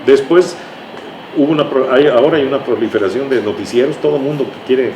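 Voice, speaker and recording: A man's voice: mid-range voice, a little fast. Older Mexican man in an echoey, noisy room. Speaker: interview guest, writer. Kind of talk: conversational reminiscing. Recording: echoey room with clear background noise.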